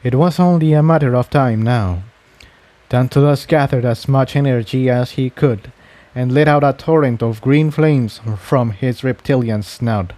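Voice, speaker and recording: A man's voice: medium pitch, unhurried, mellow. A man reading fan fiction aloud as scripted story narration.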